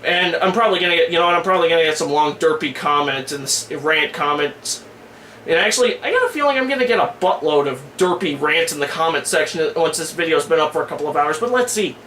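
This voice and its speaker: Male vlogger, high-pitched and forceful, blunt slangy reviewer rant, roomy home-made sound. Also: lively intonation, fast. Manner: informal, griping, blunt slangy delivery. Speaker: online movie and game reviewer, host. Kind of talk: casual opinion rant to camera. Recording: echoey room with faint background noise.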